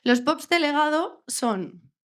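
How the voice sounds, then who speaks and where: A woman's voice: high-pitched, animated, takes it slowly, fairly bright tone. A young woman from Ciudad Real with a La Mancha Spanish accent, giving a jokey, informal science talk to a live bar audience and often checking in with "¿vale?". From Spain, Ciudad Real. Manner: chatty, jokey, keeps asking "¿vale?". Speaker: doctoral researcher giving a public talk.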